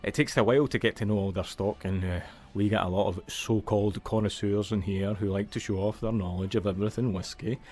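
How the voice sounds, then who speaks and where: Low-voiced friendly Scottish manager played by an actor. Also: male voice, steady tone, brisk, few pauses, a little mellow, lightly acted. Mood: patient, explaining. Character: friendly Scottish man, visitor centre manager, teasing, patient. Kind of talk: naturalistic audio drama dialogue.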